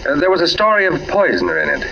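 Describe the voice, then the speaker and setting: An actor playing an uneasy, polite but probing husband in a 1940s radio mystery. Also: male voice, on the high side, wildly swooping pitch, racing, pushed and bright, exaggerated voice. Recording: muffled, noisy 1940s radio recording.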